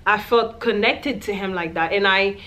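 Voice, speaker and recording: A woman's voice: medium pitch, moderate pace, bright, forceful tone. Young woman vlogger, casual storytime to camera in a small room, candid and chatty. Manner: chatty, candid, lots of "like" and "you know".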